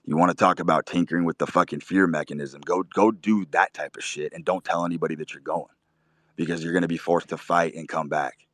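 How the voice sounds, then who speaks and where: A man with a very low, deep voice delivers blunt, swear-filled life advice like a preacher. He pushes his points hard and cuts people off. The sound is dry and close, with no room around it. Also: steady tone, a little fast, dry recording. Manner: forceful, swears constantly, preachy. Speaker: show host. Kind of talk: blunt profane motivational talk.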